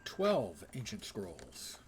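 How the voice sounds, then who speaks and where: A man's voice: fairly low pitch, huge pitch swings, very slow, vocal fry, over music. A man doing casual live commentary on a video game, musing in a dry, wry, pedantic way. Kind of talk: casual solo gameplay commentary.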